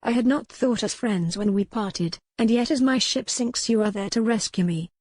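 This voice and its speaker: A woman's voice: on the high side, moderate pace, a little mellow, no echo, studio quality, voice pushed up, natural voice. A woman voicing a proud, resolute young noblewoman in a romance audiobook, impassioned, with formal old-fashioned phrasing. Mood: challenging. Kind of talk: dramatized romance audiobook dialogue.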